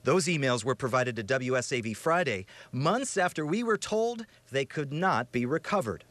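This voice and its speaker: An American man reporting investigative news in a scripted, emphatic and measured broadcast delivery. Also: medium pitch, expressive, a bit bright, dry recording.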